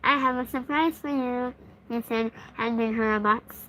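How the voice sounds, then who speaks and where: Woman, high-pitched, children's story read-aloud, host and reader, noisy recording. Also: fairly even intonation, normal speed, a bit bright. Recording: clearly noisy background.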